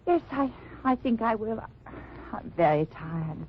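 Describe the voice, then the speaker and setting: An actress playing a secretive, weary wife of a German underground fighter, speaking carefully and formally in old radio drama style. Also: female voice, a little high, animated, a little slow. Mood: polite, composed. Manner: careful, formal, earnest phrasing. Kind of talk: 1940s-50s radio drama acting. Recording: muffled, noisy old-time radio recording.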